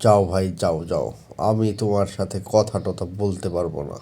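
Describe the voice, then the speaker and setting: A man's voice: low-pitched, moderate pace, vocal fry, warm tone. A man playing a lazy, exasperated husband, dismissive and wary, in a comic audio drama. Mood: startled, wary.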